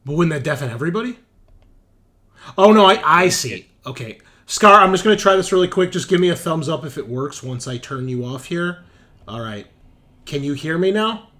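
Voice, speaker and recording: A man's voice: fairly high pitch, expressive, moderate pace, bright, forceful tone. A man running a tabletop game as game master, chatting casually and often repeating phrases as he thinks aloud. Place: voice call on headset. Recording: clear background noise.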